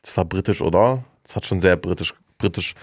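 A man's voice: deep voice, fairly quick. A young man co-hosting a radio show with casual, blunt, slangy banter sprinkled with English words.

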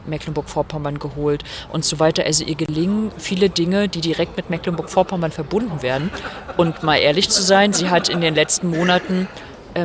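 A woman's voice: sits low, slight background noise. A middle-aged woman from northern Germany giving reflective, articulate interview answers in long, explanatory sentences. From Rostock, northern Germany. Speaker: interviewed author.

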